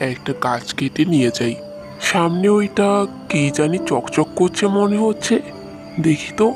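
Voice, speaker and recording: A man's voice: a little high, close to an everyday voice. A man voicing a worried, cautious poor village woodcutter in a cartoon, speaking in a plain, musing way. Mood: curious. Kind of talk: cartoon story dubbing.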